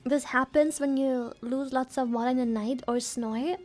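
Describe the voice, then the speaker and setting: A woman's voice: sits high, steady tone, moderate pace, darkish tone, faint music behind. A woman streamer chatting casually with her viewers, giggly and playful, her throat sore and dry. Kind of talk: casual live-stream chat.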